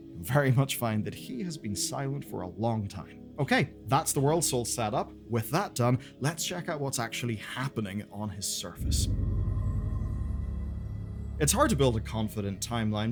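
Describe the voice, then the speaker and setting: A man hosting a gaming video, reading scripted narration in a conversational, slangy way with casual asides. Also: medium pitch. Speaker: host of a gaming lore video channel. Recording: music playing behind the voice. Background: music.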